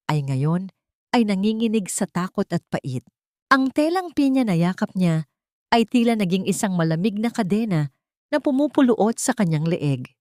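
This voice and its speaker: A woman reading a scripted dramatic love story as narrator, in a solemn, melodramatic manner with deliberate phrasing. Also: medium pitch, a little mellow, dry recording, studio quality.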